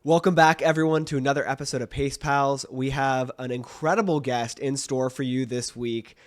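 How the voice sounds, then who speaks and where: A man's voice: mid-range voice, fairly even intonation, moderate pace, background noise. A man hosting a podcast in a casual, enthusiastic and informal way. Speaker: podcast host.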